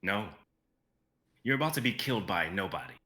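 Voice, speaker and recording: Man playing a cool, defiant low-voiced hero. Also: expressive, moderate pace, sharp tone. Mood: coolly defiant. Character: defiant, cool-headed male hero facing a giant.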